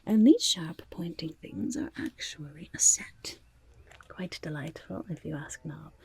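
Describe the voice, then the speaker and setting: Woman as a sly goblin merchant, whispered ASMR roleplay, very raspy and breathy, lively swooping intonation, wheedling. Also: deep voice, measured pace, exaggerated voice, rough recording. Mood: sly, delighted. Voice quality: breathy, husky whisper with creaky edges. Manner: wheedling salesmanship, speaks of self in third person. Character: sly, chatty goblin market peddler of dodgy wares.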